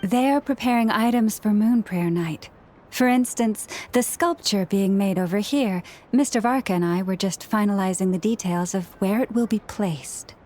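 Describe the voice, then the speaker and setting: An actress playing a composed, gentle young woman guide in a video game, speaking politely and formally. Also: mid-range voice, moderate pace, close to an everyday voice. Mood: explanatory. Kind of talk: video game character acting.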